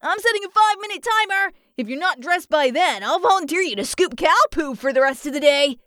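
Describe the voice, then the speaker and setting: A woman voicing an excitable, boisterous male would-be cowboy with a put-on drawl in a children's audiobook. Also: high-pitched, slow pace, dry recording, clean studio sound, voice pushed up, heavily acted. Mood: bossy, eager. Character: excitable cowboy-obsessed male birthday boy, boisterous and cheerful.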